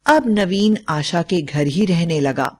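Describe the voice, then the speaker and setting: A woman's voice: lowish voice, takes it slowly. A woman narrating a scripted story as a storyteller.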